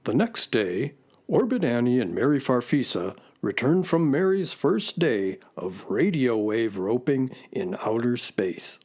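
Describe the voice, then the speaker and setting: An actor playing a bookish, deadpan space horse who narrates and talks in a children's radio drama, dry and slightly fussy. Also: mid-range voice, a little slow, slightly rough. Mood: storytelling.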